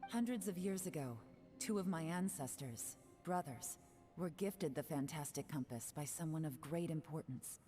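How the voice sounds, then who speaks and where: Woman voicing a cool investigator, very low voice, dark tone, calm and dry, video game. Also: moderate pace, soft background music, close to an everyday voice.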